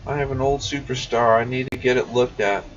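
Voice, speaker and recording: A man's voice: medium pitch, steady tone, brisk. Man chatting on livestream, distant muffled mic. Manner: folksy, informal, addressing viewers as guys and man. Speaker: CB radio shop owner hosting a livestream. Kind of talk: casual livestream chat, reading and answering viewer comments.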